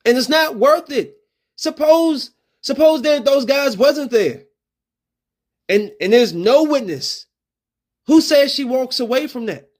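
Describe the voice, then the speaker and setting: A man's voice: extremely high pitch, expressive, slow pace, pauses often. A man hosting a solo opinion podcast, talking in loose slang and acting out street confrontations in a raised voice. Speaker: podcast host.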